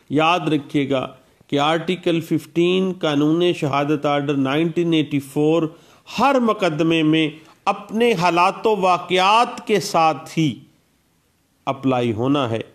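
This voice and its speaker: A man giving a law lecture, explaining legal rules step by step and mixing in English legal terms. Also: a little high. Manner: explanatory, repeats statute citations, Urdu legal terms with English words.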